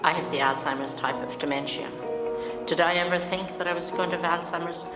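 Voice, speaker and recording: Low-voiced woman in her sixties. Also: steady tone, a little fast. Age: 60s. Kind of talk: short video testimony. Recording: loud music, roomy echo. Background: loud music.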